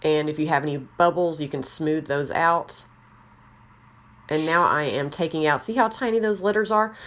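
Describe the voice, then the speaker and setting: A woman with a slightly raspy voice, chatting casually as she walks through a craft tutorial. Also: low-pitched, moderate pace, a bit bright. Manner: chatty, rambling, self-deprecating. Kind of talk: casual how-to narration.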